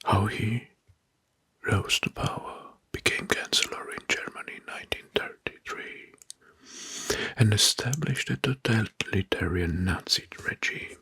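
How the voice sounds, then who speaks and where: A man whispering an ASMR history narration into a close microphone, hushed and deliberate, softly repeating key phrases. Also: on the low side, no room sound. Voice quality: breathy, mostly unvoiced whisper. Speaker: ASMR host.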